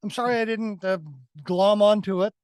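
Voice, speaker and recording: Higher-voiced man in casual, explanatory discussion. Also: steady tone, normal speed, a little mellow, clear, resonant tone, no room sound. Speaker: podcast co-host reviewing films.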